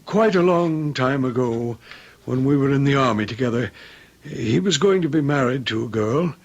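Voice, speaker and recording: An actor playing a gentle, loyal middle-aged manservant in old-time radio drama, polite and hesitant. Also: male voice, medium pitch, lively intonation, moderate pace, highs cut off, background noise, close to an everyday voice. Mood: hesitant, wistful.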